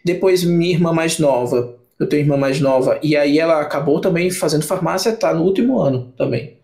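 Casting: young man, somewhat high-pitched, rambling and casual, podcast guest. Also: fairly quick, warm tone. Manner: rambling, many restarts and fillers. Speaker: book channel creator, podcast guest.

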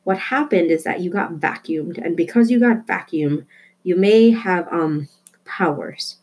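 A woman voicing an earnest, excitable young girl in a storybook, explaining things eagerly and emphatically. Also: lowish voice, measured pace, husky. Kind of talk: storybook character voice.

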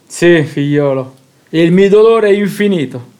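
A man's voice: fairly high pitch, animated, normal speed, darkish tone, lightly acted. An actor playing a gullible, middle-aged master of the house in a radio drama, declaiming his grievances as a betrayed father. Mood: sorrowful. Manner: declamatory, aggrieved. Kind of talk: theatrical radio drama acting.

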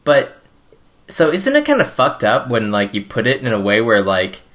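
A man's voice: mid-range voice, wildly swooping pitch, moderate pace, fairly bright tone. A young man whose first language is Cantonese, chatting casually in a laid-back way, full of fillers and hedges. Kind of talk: casual chat.

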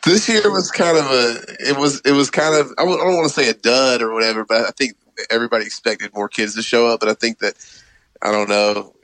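A man's voice: medium pitch, brisk, a bit bright, no echo, low-quality recording. A man from South Carolina, a guest musician, talking in a laid-back way with a stuffy nose from a cold. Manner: laid-back, lots of "like" and laughs. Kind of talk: casual interview chat.

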